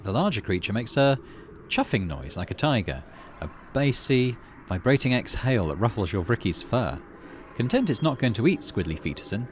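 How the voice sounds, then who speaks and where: A man's voice: mid-range voice, a little hiss or hum. A man hosting a gaming video, mixing casual commentary with reading the game's narration aloud. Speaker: gaming channel host.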